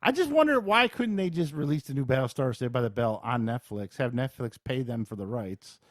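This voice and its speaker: A man's voice: medium pitch, lively intonation, brisk, dry recording, clean studio sound. A man co-hosting a podcast, introducing entertainment news in casual, conversational chat. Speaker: podcast co-host.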